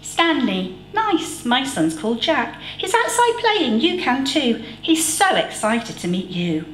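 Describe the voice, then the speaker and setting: A woman's voice: sits high, big pitch swings, slow pace, a bit bright, roomy sound, slight background noise, voice pushed up, full character voice, poor audio. A woman voicing a friendly, welcoming neighbour in a storybook reading, light and sweet.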